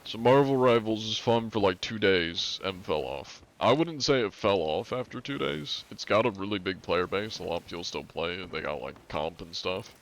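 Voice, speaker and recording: A man's voice: sits low, normal speed, hardly any pauses, fairly bright tone. A man in his twenties streaming a game, chatting casually and reading out chat with lots of fillers. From northern United States. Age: 20s. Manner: rambling, filler-heavy, reading chat aloud. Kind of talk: casual live-stream chat while gaming.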